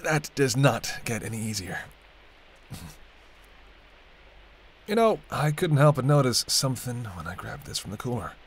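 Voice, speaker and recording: A man's voice: medium pitch, big pitch swings, fairly quick, breathy edge. A man playing a sardonic, teasing vampire in an audio roleplay, talking casually and wryly to the listener as a reluctant companion. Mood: needling, sardonic. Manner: wry, bantering, casual, muttered asides. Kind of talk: intimate audio roleplay acting addressed to the listener.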